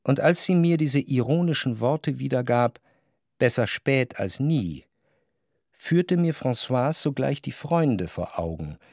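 Creaky, dry introspective narrator. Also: male voice, mid-range voice, lively intonation, darkish tone, no echo. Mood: brooding. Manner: measured, introspective, long flowing sentences. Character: reflective, jealous male narrator recalling his past. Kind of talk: literary narration in German radio play.

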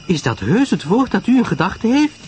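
A man voicing an excitable society woman in an audio drama, speaking breathlessly and haltingly in agitation. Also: high voice, faint music behind, voice pushed up. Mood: shocked disbelief.

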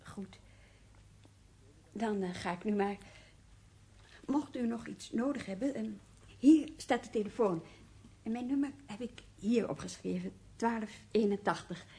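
An actress in a radio play playing a polite, solicitous office employee who speaks formally and haltingly. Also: female voice, mid-range voice, warm tone, lightly acted. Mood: polite, concerned. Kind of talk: Dutch radio drama acting.

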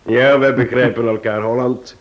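Casting: male actor as composed chief inspector for radio play, mid-pitched, courteous, measured and firm. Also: fairly even intonation, lo-fi sound, lightly acted. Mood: measured, planning. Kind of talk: radio drama acting.

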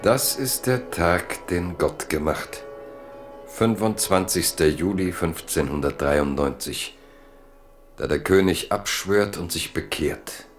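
Casting: male narrator, low register, literary radio drama, deliberate dramatic storytelling. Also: faint music behind.

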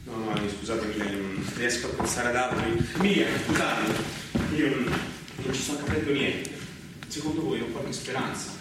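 A man's voice: medium pitch, normal speed, close to an everyday voice. A man playing an anguished, disgraced army lieutenant, pleading and evasive, in a stage drama. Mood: distracted, anxious. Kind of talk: live stage-style Shakespeare drama acting in Italian. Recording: noisy, echoey hall, distant microphone.

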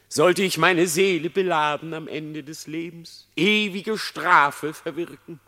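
A sly, lying fox in a classic verse radio drama. His delivery is highly animated and theatrical, with a bright, tense edge, lurching between hushed confession and outcry in feigned remorse. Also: heavily acted. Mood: feigned anguish. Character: cunning lying male fox pleading before his execution.

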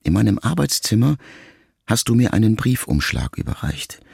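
Deep gravelly male audiobook narrator. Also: no echo, clean studio sound. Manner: calm, measured, reflective reading. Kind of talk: scripted first-person narration. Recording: music bed under the voice.

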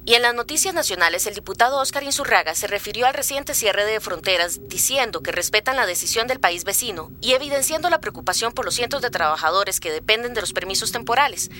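A woman's voice: medium pitch, lively intonation, fast, hardly any pauses, sharp tone, a little hiss or hum. A woman playing a radio newsreader, reading scripted bulletins in a formal announcing manner. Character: brisk female radio newsreader.

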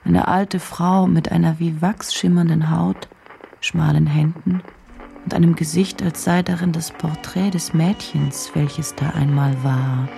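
An actress playing a probing, reflective woman journalist in a radio drama, with a deliberate, brooding delivery. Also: low voice, steady tone, gravelly, warm tone, soft background music, heavily acted.

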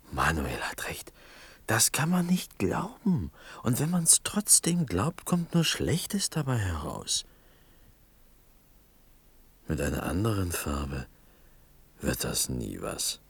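A brooding village craftsman in a radio drama, bitter and stubborn. His low voice is very husky and breathy. It moves slowly and haltingly, with wide swings of pitch. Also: fairly bright tone, heavily acted. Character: taciturn village potter, bitter, stubborn, distressed man.

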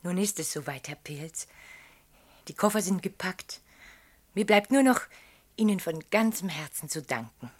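A sweet young wife in a German radio play. Her voice is husky and a little low, with lively melody. She sounds grateful and quietly sure of herself. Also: unhurried, long pauses. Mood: tender, grateful.

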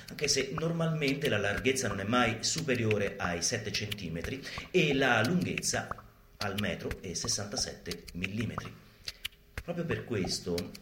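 Male actor for urban guerrilla instructor in radio theatre: emphatic, mock-instructional, very animated pitch, roomy live sound. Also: noisy background, natural voice.

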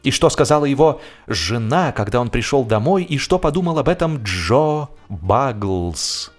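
A man giving a theatrical, humorous literary narration with expressive, ironic delivery and deliberate pauses. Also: mid-range voice, soft background music. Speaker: audiobook narrator and actor.

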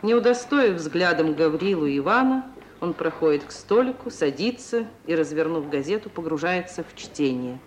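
Measured radio-play narrator over faint hiss. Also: medium pitch, lively intonation. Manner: measured, literary, storytelling. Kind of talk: scripted scene-setting narration for radio play.

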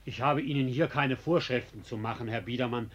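An actor playing a sly, insolently calm male ex-convict in a German radio play, mock-courteous and ironic, with a husky voice. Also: mid-range voice, dull audio, natural voice. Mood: coolly polite. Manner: mock-courteous, teasing, unhurried irony.

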